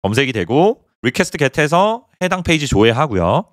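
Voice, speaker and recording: A man talks through a coding tutorial at a brisk clip, pointing at items on his screen as he goes. His voice moves up and down in a lively way. The sound is dry and close, from a small quiet room. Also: fairly high pitch, dry recording. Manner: explains step by step, pointing things out on screen. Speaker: programming instructor.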